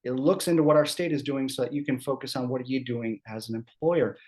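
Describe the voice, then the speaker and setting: A man's voice: mid-range voice, a little mellow. A man with a scratchy throat from a cold, giving an HR law seminar in a chatty way, often checking in with "right?". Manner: conversational, quick asides, keeps asking "right?". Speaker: employment law presenter. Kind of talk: HR seminar presentation.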